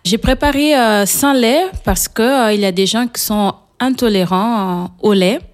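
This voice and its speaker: A woman with a Portuguese accent, a native Portuguese speaker, talking as a guest cook in a radio interview and pausing often with fillers. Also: mid-range voice. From São Tomé and Príncipe, raised in Portugal. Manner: hesitant, frequent pauses with fillers.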